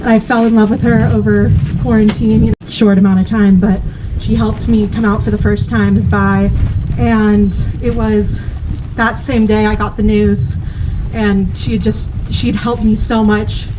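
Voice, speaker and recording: A young woman giving an earnest, halting tribute at an outdoor memorial by a lake. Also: mid-range voice, little pitch movement. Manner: earnest, halting, filler-heavy. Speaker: vigil attendee. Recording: dull audio with wind and boat noise.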